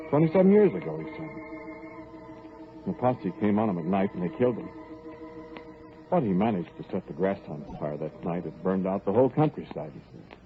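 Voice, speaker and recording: 1940s radio narrator, tense and tinny. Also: male voice, fairly low pitch. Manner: intense, rising urgency in the chase, hushed and flat in the aftermath. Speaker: narrator and lead actor of a 1940s radio horror drama. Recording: tinny old radio broadcast with music.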